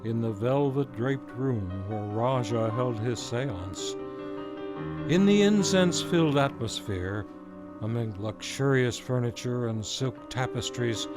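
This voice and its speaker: Male radio drama narrator, mid-pitched, measured scripted delivery, strong music bed. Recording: loud music under the voice. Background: loud music.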